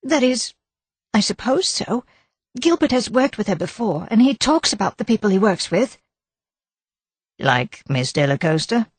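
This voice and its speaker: A woman voicing an anxious, defensive middle-aged wife and mother who talks in a flustered, high-strung way. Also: very animated, unhurried, long pauses, slightly rough, no room sound.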